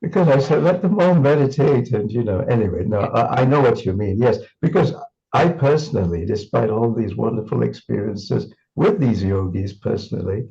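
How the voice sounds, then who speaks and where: A man's voice: medium pitch, lively intonation, normal speed, creaky, warm tone, highs cut off. A man from Singapore reminiscing in an interview, thoughtful and full of restarts and fillers. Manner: thoughtful, repeats words, many fillers.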